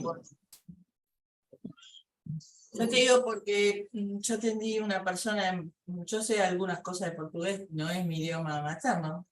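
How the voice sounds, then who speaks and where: A woman's voice: fairly low pitch, rough recording. A middle-aged woman with an Argentine accent, a psychoanalyst telling clinical anecdotes in a seminar discussion. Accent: Argentine Spanish. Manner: conversational, tells clinical anecdotes.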